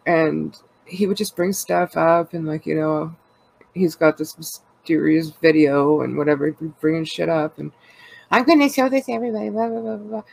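Congested Canadian woman with gravelly fry. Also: lowish voice, darkish tone, poor audio. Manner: rambling and candid, laughs often, many fillers. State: congested with a cold. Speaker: podcast co-host. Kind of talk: casual personal chat.

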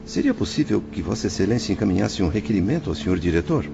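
An actor playing an anxious, ill-tempered former merchant in a radio drama, speaking in pompous, ornate formal phrases. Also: male voice, low-pitched, very animated, fast, gravelly, heavily acted. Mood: anxious, pleading. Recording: muffled band-limited audio with music behind.

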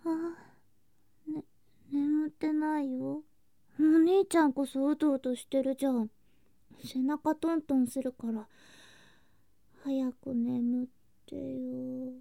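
A woman acts out a sweet, teasing younger girl cousin at bedtime in an ASMR voice drama. Her voice is high, soft and breathy, often slipping into whispers. She talks slowly and drowsily, coaxing with childlike affection, in very dry, close sound. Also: fairly even intonation, no echo, heavily acted. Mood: drowsy coaxing. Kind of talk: ASMR situation voice drama, intimate bedtime roleplay.

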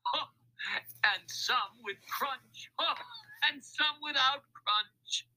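A man voicing a hearty truck driver in a storybook, with booming, gleeful, drawn-out exclamations and mocking laughter. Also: pushed and bright, exaggerated voice, low-quality recording. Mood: gleeful, laughing.

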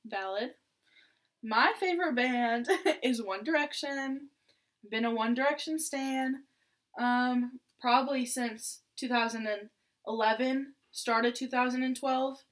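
A woman's voice: fairly high pitch, slow pace. A seventeen-year-old girl born in Iraq, co-hosting a casual podcast and chatting with lots of fillers and giggles. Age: teens. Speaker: podcast co-host. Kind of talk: casual chat.

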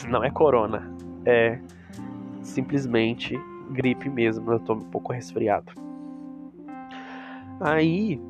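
A man's voice: medium pitch, takes it slowly, long pauses, muffled recording. A Brazilian man talks to his viewers in a loose, rambling way, full of asides. His nose is stuffed up from a cold. Guitar music plays behind him. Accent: Brazilian Portuguese. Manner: chatty, rambling, with asides to the audience. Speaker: cosmetics reseller vlogger. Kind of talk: casual talk to viewers. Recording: music playing in the background.

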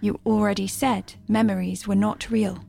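An actress voicing a calm, clinical artificial guide in an audio drama, with a measured, formal manner. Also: female voice, medium pitch. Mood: gently composed. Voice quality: creaky, soft-toned. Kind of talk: restrained audio drama acting. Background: music.

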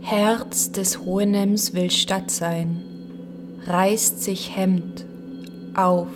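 A woman recites spoken-word poetry extremely slowly, in short, deliberate phrases set apart from each other. Her intonation stays flat, and music sounds clearly behind her. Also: mid-range voice. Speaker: reader of literary texts.

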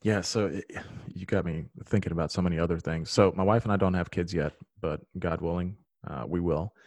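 A man's voice: deep voice, steady tone, a little fast, a little mellow, no room sound. A man whose first language is Serbian, hosting a casual conversation and explaining things emphatically.

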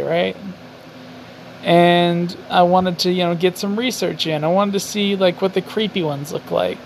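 A man's voice: gravelly, rough recording. A young man hosting a casual solo podcast from his garage, rambling, mocking himself and swearing often. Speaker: podcast host. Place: home garage. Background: faint highway traffic.

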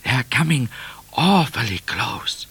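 A man voicing a sensible, knowing talking cat in a children's story, in a measured manner. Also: mid-range voice, very animated, fairly bright tone, breathy edge, pitched above the performer's own voice, full character voice. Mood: cautious, matter-of-fact. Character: sensible, matter-of-fact talking cat, outlaw's companion.

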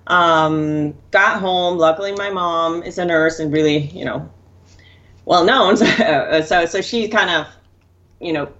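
A woman from Denmark, a guest on a podcast, telling her story in a relaxed, candid way. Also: fairly low pitch, slow pace. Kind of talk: casual interview storytelling. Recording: dull lo-fi sound.

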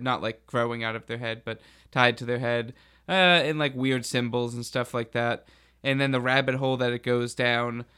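A man with a smooth, clean voice talks casually on a podcast. His delivery stays fairly level in pitch as he explains things in a loose, rambling way, peppered with informal filler. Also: a bit bright. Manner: rambling, informal storytelling with frequent hedging filler words. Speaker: podcast speaker.

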